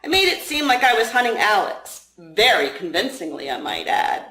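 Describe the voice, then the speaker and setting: A woman voicing a teasing, calm male FBI agent in a story reading. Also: medium pitch, normal speed. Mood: reassuring. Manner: playful, mischievous. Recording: roomy, echoing room sound.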